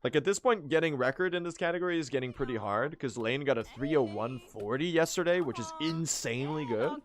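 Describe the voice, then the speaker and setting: A young man chatting casually to his stream while speedrunning, self-mocking and quick to laugh, his voice still recovering from strain. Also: on the high side, lively intonation.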